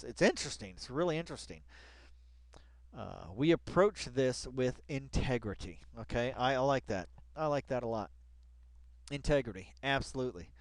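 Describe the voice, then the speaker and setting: Male online host, mid-pitched, for loose article commentary; colloquial and emphatic, doubles up on phrases, dry recording. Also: clear, resonant tone, dry recording. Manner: slangy, colloquial, addressing absent people directly; emphatic, repeats phrases for effect. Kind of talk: casual read-along commentary on a web article.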